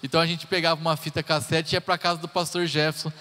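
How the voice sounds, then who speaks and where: A man's voice: fairly high pitch, little pitch movement, fast talker, sharp tone. Chatty man preaching in a church hall. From Brazil. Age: 30s. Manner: chatty and joking, talks straight to the congregation. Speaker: pastor. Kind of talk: sermon introduction, personal stories. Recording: live church hall reverb.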